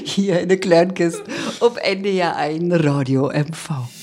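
A woman with a northern German accent hosting a radio interview in Low German dialect. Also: a little slow. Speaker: radio host.

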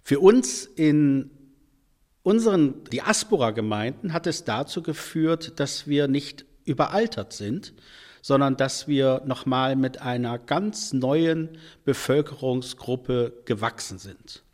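A man in a church role answering interview questions, explaining thoughtfully and letting phrases fade softly at their ends. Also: mid-range voice, takes it slowly.

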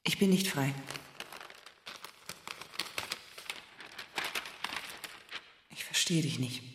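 A woman's voice: low-pitched, fairly quick, reverberant. An actress playing a restless, scornful unfaithful wife who provokes her husband in a German literary radio play, pointed and reproachful. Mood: reproachful, hurt. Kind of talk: German literary radio play, dialogue and first-person narration.

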